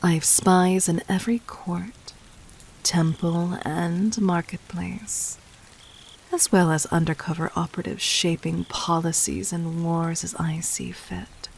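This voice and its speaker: A woman playing a regal, cunning, gently dominant demon queen in a roleplay audio drama, speaking formally and intimately with measured, breathy poise. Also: fairly low pitch, fairly even intonation, slight background noise, low-quality recording. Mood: poised, knowing. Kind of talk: scripted roleplay audio drama addressed to the listener.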